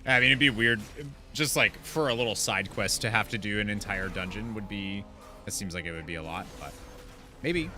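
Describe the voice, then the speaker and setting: A man streaming a video game, giving informal, off-the-cuff commentary in a casual, animated way. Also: on the low side, normal speed, music in the background. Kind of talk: casual livestream gameplay commentary.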